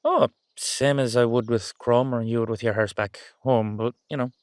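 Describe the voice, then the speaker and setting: A man's voice: medium pitch, fairly even intonation, moderate pace, smooth, no room sound, natural voice. A man playing a playful, affectionate young-looking Dullahan boyfriend with an Irish accent, rambling and teasing in a roleplay audio. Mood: casual, instructive.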